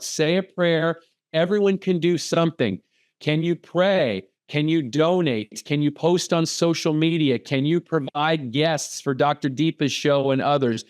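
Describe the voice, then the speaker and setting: A man's voice: fairly high pitch, dry recording, clean studio sound. A man speaking as a guest on a podcast, urging action in a preachy way with strings of rhetorical questions over a video call.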